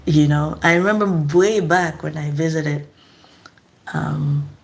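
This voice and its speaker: A woman's voice: sits low, takes it slowly, creaky. A middle-aged woman from eastern Nigeria, speaking in a casual, earnest vlog monologue and asking reflective questions. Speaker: vlogger.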